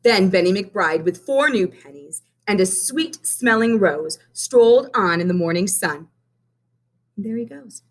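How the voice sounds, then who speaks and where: A woman teacher reading a picture book aloud to children, stopping to count coins with them. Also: medium pitch. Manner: slow and expressive, pausing to count coins. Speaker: primary math teacher. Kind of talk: children's read-aloud lesson.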